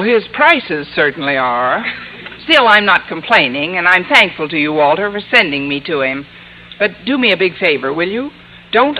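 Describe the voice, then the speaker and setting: An actress playing a sardonic, quick-witted woman English teacher in an old radio sitcom, with dry comic timing. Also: lowish voice, muffled recording. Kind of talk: 1940s-50s radio sitcom narration and acting. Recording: hissy vintage radio recording.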